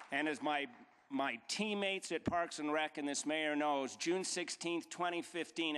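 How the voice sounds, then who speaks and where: A man's voice: fairly high pitch, a bit bright, smooth. A middle-aged man giving a formal acceptance speech from a podium, building emphatic rhetorical lists and repeated dates. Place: large banquet hall stage. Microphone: podium microphone. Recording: long reverb of a large hall.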